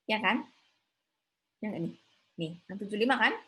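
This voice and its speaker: A woman's voice: medium pitch, animated, normal speed, a bit bright. A woman giving an informal online accounting lecture, thinking aloud and often checking with her students through short questions. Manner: conversational, often seeking confirmation with short tag questions. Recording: slightly rough online class recording.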